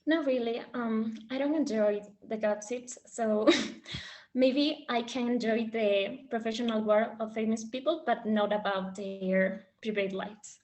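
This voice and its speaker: A woman's voice: fairly high pitch, unhurried, a little mellow. A woman from Ecuador, answering practice exam questions hesitantly with frequent fillers. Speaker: exam candidate.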